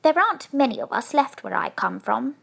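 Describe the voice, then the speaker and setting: A woman plays a little boy bear in a children's storybook. The voice is small and high, with a lively lilt. He speaks with earnest politeness and a touch of proud self-importance. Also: moderate pace, heavily acted. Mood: self-important. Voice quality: small, clear voice.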